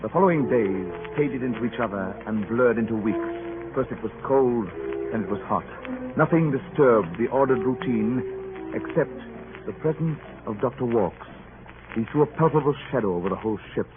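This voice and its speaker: An actor playing a cautious, reserved ship's captain in a radio drama, formal and measured in acting and narration. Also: male voice, medium pitch, lightly acted. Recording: tinny, noisy old radio recording.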